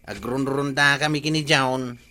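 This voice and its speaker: A man's voice: medium pitch, steady tone, normal speed, sharp tone, slight background noise, close to an everyday voice. A man playing a skeptical, teasing village watchman in an Ilocano comedy radio drama, with an Ilocano accent. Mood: teasing, reproachful.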